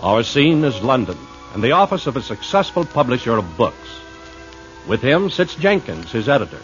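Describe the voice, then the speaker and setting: A man announcing a radio drama in a scripted, formal, theatrical 1950s style, with a husky voice. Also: mid-range voice, very animated, normal speed, music in the background. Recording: muffled 1950s radio recording.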